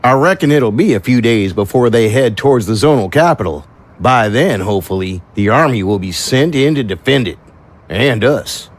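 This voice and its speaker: An actor playing a steady, reassuring small-town sheriff with folksy turns of phrase in an audio drama. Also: male voice, medium pitch, normal speed, natural voice. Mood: measured, grave.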